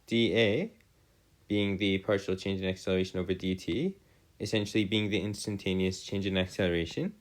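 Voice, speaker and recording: A man giving a step-by-step lesson as a tutor, in formal, wordy phrasing with halting pauses and self-corrections. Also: lowish voice. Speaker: math and physics tutor. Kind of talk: explanatory lesson, worked step by step.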